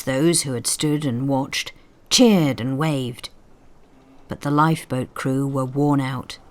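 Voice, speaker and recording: A woman reading a scripted story in an expressive, suspenseful, dramatic storytelling style. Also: rumbling low. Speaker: story narrator. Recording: storm sound effects behind voice. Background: rain, thunder and storm effects.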